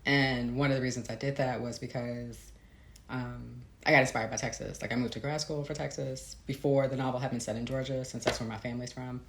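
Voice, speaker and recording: Low-creaking American woman in a roomy space. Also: steady tone, a little fast. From Midwestern United States. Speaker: author being interviewed. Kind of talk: conversational explanation. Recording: roomy echo, faint background noise.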